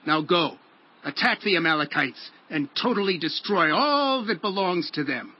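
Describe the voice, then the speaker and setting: High-voiced, stern prophet. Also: male voice, animated, moderate pace, muffled recording. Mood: stern, proclaiming. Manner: solemn, forceful proclamation. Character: stern prophet delivering God's harsh command. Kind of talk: dramatized audio Bible acting.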